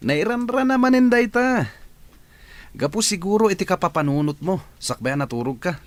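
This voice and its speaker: A man playing a practical, reassuring character in an audio drama, talking in an expressive, conversational way. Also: sits high, unhurried, no room sound. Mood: teasing, dismissive.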